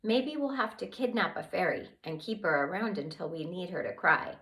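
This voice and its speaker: A woman's voice: on the low side, expressive, moderate pace, fairly bright tone, echoey room, poor audio. A woman reading aloud, voicing a blunt, impatient young boy with a sarcastic edge.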